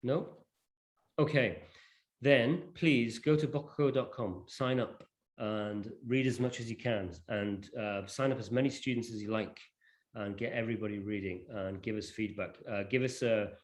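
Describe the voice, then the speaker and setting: A man presenting a digital library informally to an online session, speaking in a halting, hesitant way. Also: on the low side. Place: online video call.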